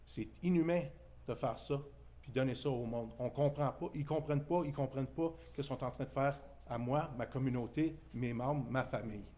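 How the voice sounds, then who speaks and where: A man with a Québécois French accent gives a press conference statement. His voice is mellow and dark, and he speaks in an earnest, indignant way. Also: medium pitch, moderate pace. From Témiscamingue, Quebec.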